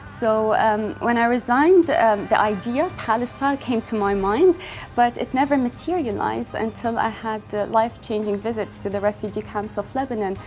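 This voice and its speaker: A woman's voice: on the high side, moderate pace, a little mellow. Earnest young woman, faint music behind. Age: young adult. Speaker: fashion brand founder. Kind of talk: interview monologue.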